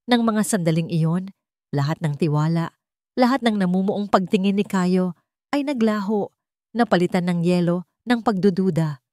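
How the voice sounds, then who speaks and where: A woman narrates a scripted dramatic story in a measured delivery, pausing between phrases for effect. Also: on the low side, darkish tone, dry recording, studio quality.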